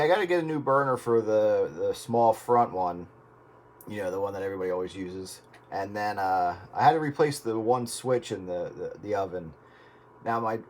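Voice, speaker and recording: A man's voice: fairly low pitch, normal speed, a little hiss or hum. A man chatting casually on the radio in a folksy, plain-spoken way. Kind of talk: casual chat over radio.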